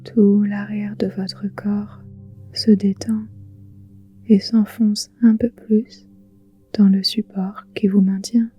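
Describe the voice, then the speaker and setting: A woman guiding a relaxation meditation in a soft, breathy, whispery voice, soothing, with gentle pauses. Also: mid-range voice, level tone. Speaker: yoga nidra guide. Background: soft music with singing bowls.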